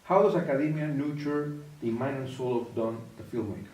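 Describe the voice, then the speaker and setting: Mellow-voiced male interviewer. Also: mid-range voice, a little fast. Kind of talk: formal interview questions. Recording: roomy echo, mic at a distance.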